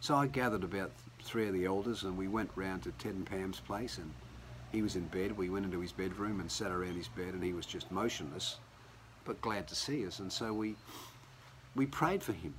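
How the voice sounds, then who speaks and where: A man preaches in a relaxed, conversational way, his very low voice a little croaky from a cold. He often restarts his sentences as he talks from his home. A faint hum sits behind him. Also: normal speed, rough recording. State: hoarse. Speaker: pastor. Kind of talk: sermon.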